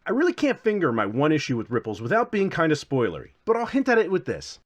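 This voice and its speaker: Animated, higher-voiced man reviewing games. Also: fast. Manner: theatrical, wry, self-deprecating. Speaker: host, game reviewer. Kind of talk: scripted comedic video review narration.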